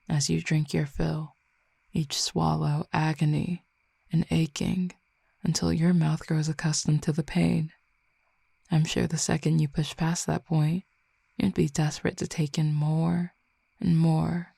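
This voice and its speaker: A woman voicing a commanding, teasing fallen Cupid in an audio roleplay, speaking slowly and intimately with soft whispered stretches. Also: low-pitched, creaky, slightly rough, dry recording, heavily acted. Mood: teasing, dominant. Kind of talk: audio roleplay addressing the listener.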